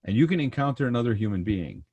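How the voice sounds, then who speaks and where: A man's voice: mid-range voice, very animated, fast talker, dark tone, slightly rough, no echo. A man from the Persian Gulf region hosting a podcast in casual conversation, rambling and repeating words, often tagging thoughts with "right?" and "you know". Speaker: podcast host.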